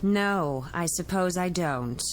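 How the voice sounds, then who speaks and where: A woman's voice: fairly low pitch, lively intonation, slow pace, a little hiss or hum. An actress playing a cool, exasperated woman fending off a suitor, curt, in a vintage television drama. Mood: coolly dismissive.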